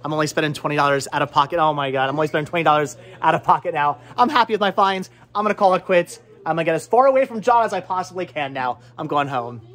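A man in his thirties hosting a casual, chatty vlog in an excitable way, often repeating his words, recorded on a handheld camera in a shop. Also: a little high, fast, hardly any pauses. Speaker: vlog host. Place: indoor cluttered video and game shop. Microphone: handheld camera at arm's length.

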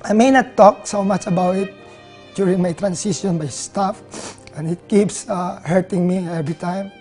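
A man in his thirties from the Philippines with a Filipino accent, telling his own story in an interview, earnest and halting with many fillers. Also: sits high. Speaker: nurse turned network marketer. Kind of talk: interview testimonial. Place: living room, seated on a leather couch. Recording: soft music under the voice.

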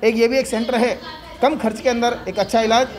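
A man's voice: very high voice, little pitch movement, brisk. A middle-aged man making a formal public appeal to camera, often padding his phrases with fillers. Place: indoor clinic room in front of banner.

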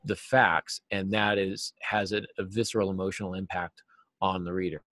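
A man in his forties giving earnest, explanatory answers as an interview guest, stressing key words deliberately. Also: deep voice, little pitch movement, very noisy. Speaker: writing teacher and novelist, interview guest. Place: small cluttered office, video call.

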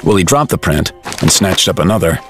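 A man's voice: low-pitched, animated, brisk, a bit bright, faint music behind. A man reading scripted narration for a dramatized audiobook, as storyteller.

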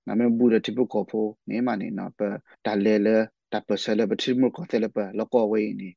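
Male radio commentator, unscripted, dark creaky tone, slightly lo-fi sound. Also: lowish voice, steady tone, moderate pace, dry recording. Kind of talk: spontaneous spoken commentary on radio. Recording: slightly muffled shortwave radio programme audio.

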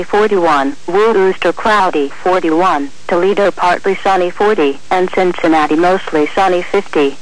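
A man's voice: high-pitched, fairly bright tone, rough recording. A man's synthetic voice reading automated weather reports in formal, clipped phrases over weather radio. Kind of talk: automated marine forecast and observations read-out.

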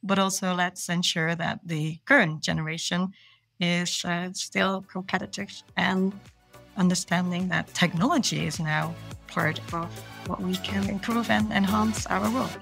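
A woman's voice: lowish voice, fairly even intonation, a little slow, gravelly, a bit bright, breathy edge, faint music behind. A woman from Belgium, a procurement manager, answering interview questions with enthusiasm and earnest small restarts.

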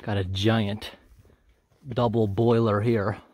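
Um homem gravando com câmera de mão enquanto caminha por uma trilha na floresta, comentando de forma descontraída e observadora o que encontra. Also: male voice, fairly low pitch, slow. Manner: relaxed, observant, thinking aloud. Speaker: hiker filming a walking tour. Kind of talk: casual walk-and-talk narration. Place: outdoors on a forest trail. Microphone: handheld camera.

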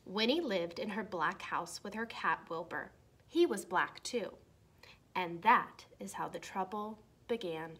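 Young female storyteller, picture-book read-aloud for children, expressive and deliberate phrasing, mid-range voice. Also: medium pitch, a little hiss or hum. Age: young adult. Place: small decorated room indoors.

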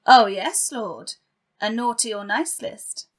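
An eager little demon servant in a comic panto. The voice is high, fawning and theatrical, with slow, drawn-out phrases. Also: female voice. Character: obsequious, eager demon minion in a Santa suit. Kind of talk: comic audio pantomime acting.

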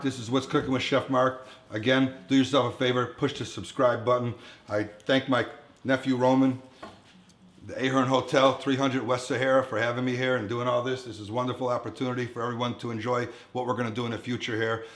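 A man's voice: medium pitch, level tone, brisk, a bit bright. A man in his fifties hosting a casual cooking demonstration, chatting informally to viewers at home. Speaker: chef hosting a cooking show. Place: commercial-style kitchen, indoors. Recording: echoey room, some background noise, kitchen clatter.

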